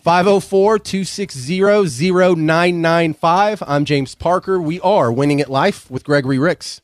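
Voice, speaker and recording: A man co-hosts live radio in a fairly high voice, close-miked and dry. He banters wryly and jokes in a light, quick way. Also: dry recording, soft background music. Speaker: radio co-host. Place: radio studio.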